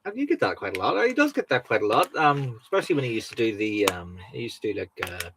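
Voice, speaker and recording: A man's voice: mid-range voice, big pitch swings, fast, noisy background. A man co-hosting a casual livestream chat, playful and self-mocking, laughing a lot. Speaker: co-host.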